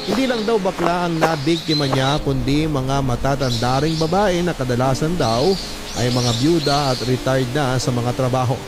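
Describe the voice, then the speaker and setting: A man's voice: mid-range voice, moderate pace, over loud music, close to an everyday voice. A man playing a troubled young working son who earnestly recounts his family's story in first person, with a Filipino accent. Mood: somber, reflective. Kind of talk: first-person dramatized narration.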